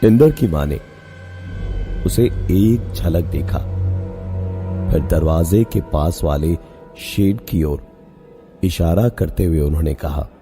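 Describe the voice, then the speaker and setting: A man narrating a scripted horror story in a suspenseful, dramatic way with drawn-out phrasing and pauses. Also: low voice, warm tone. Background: music under the voice.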